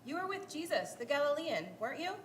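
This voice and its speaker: A woman's voice: sits high, big pitch swings, fairly quick. A woman playing a young servant girl who pointedly accuses a stranger in a dramatic Bible reading. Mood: accusing. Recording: echoey room, faint noise.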